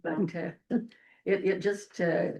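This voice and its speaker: An older woman from the Midwest with a loud voice, giving a rambling, folksy public comment at a county meeting. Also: on the low side, level tone, slow, vocal fry. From Eldora, Iowa.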